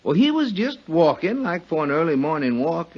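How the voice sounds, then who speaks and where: A timid, deferential American working fellow in a 1950s radio drama. The voice is fairly high and husky, swinging up and down through hesitant, folksy phrases. It sits on a dull old recording. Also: male voice, big pitch swings, normal speed. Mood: nervous, eager. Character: timid, deferential, simple male milkman witness. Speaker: milk truck driver, witness.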